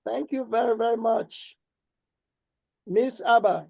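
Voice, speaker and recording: A man moderating a webinar panel, speaking in a measured, courteous way over a video call. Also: very high-pitched, gravelly, darkish tone, no echo. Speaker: seminar moderator.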